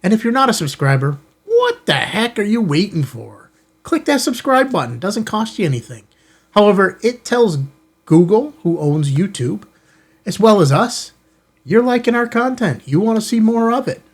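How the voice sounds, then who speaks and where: Higher-pitched American man, chatty vlog host. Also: sing-song, normal speed. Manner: informal, chatty, rhetorical questions to viewers. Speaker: ham radio channel host. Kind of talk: casual product unboxing chat to viewers. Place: indoor studio in an office building.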